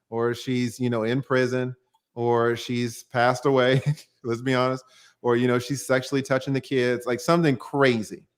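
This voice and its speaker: A man's voice: mid-range voice, clean tone. A man, a divorce attorney, explaining the law in a plain-spoken way on a call-in livestream and using everyday examples.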